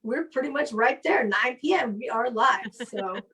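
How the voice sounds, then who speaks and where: A woman hosting a show on a live call, chatting casually and telling anecdotes in an animated way. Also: a little high, a little slow, far from the mic. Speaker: comedy show host. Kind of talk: casual chat. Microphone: live video call.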